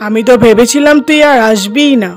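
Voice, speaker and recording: Woman as a commanding cartoon dervish, highish voice. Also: a little fast, lightly acted. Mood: stern, demanding.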